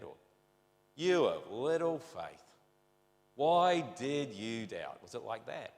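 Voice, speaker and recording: A man's voice: medium pitch, creaky, a bit bright, reverberant, in a large hall. A middle-aged man with a New Zealand accent preaching a conversational, rambling sermon full of rhetorical questions. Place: church.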